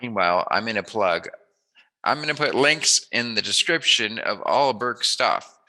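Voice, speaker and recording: A man's voice: lowish voice, animated, normal speed, bright, forceful tone, low-quality recording. A man hosting an interview in a casual, joking way, with a playful, rambling, musing manner. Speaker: interview host.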